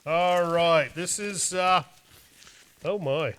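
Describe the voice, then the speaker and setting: Guy w a high bright voice, theatrical show host bantering and reading letters. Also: extremely slow, slight background noise. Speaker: host of a horror movie show. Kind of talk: theatrical casual banter while reading viewer mail.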